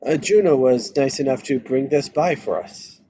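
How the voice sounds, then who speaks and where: A man's voice: mid-range voice, moderate pace, mellow, slightly rough, no echo, natural voice. A man playing a laid-back, sardonic husband in a casual, wry way at a script table read. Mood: dry. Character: laid-back, sardonic married man, expectant adoptive father.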